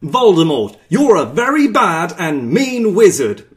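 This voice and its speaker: A man voicing excitable young wizard students in an absurd storybook, with exaggerated earnestness. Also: sits high, moderate pace, pitched above the performer's own voice. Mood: loud, defiant.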